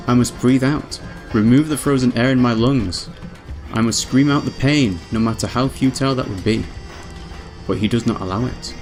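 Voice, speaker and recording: A man's voice: medium pitch, natural voice. Male reader as young witch, proud, solemn, ornate dramatic narration, visual novel, music behind. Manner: grave, ornate storytelling. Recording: music underneath.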